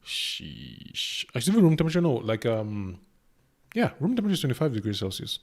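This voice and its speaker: A young man from Cameroon reacting to a video in casual commentary, excitable and stammering through restarts. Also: mid-range voice, fairly quick, vocal fry.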